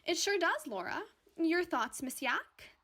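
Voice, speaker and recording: A woman's voice: very high voice, very animated, measured pace, sharp tone, exaggerated voice. An actress playing an overdramatic, easily flustered female paranormal podcast host with a theatrical, self-important manner in a radio drama. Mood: perky, eager.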